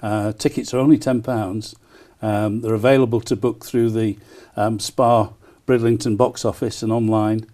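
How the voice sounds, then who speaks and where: Mellow-voiced man, enthusiastic interview guest. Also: fairly low pitch, little pitch movement, normal speed. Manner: enthusiastic, frequent hesitant ers. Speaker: town crier and festival promoter, interview guest. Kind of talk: promotional broadcast interview answers.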